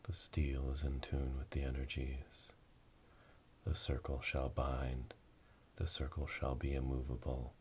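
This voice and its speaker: A man playing a solemn witch elder leading an initiation rite in a breathy, whispered ASMR roleplay, speaking slowly and ceremonially. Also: completely flat delivery, a little mellow. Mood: solemn, hushed. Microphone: close binaural microphone. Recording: close binaural, rumbling noise, clicks.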